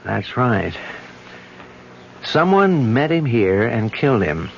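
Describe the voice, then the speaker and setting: A man's voice: medium pitch, big pitch swings, slow pace, vocal fry, full character voice. An actor playing a confident, affectionate man who explains his murder investigation in a radio drama. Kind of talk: 1970s radio drama acting. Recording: muffled old radio recording with hiss.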